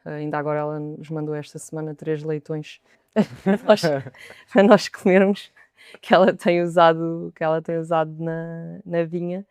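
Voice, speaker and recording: A young woman with a European Portuguese accent talks as a sommelier in a podcast interview. Her voice is smooth and clear, her pitch stays fairly level, and she often doubles a word before moving on. The sound is dry and close. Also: steady tone, normal speed, darkish tone, dry recording. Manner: thoughtful, repeats words as she gathers her thoughts.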